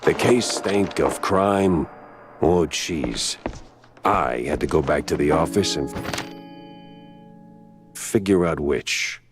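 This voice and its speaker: An actor playing a wry, world-weary mouse private detective in a noir video game, with deadpan hard-boiled delivery. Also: male voice, a bass voice, slow pace, pauses often, music in the background. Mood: brooding narration. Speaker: detective narrator and lead character. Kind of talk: film noir game dialogue and narration.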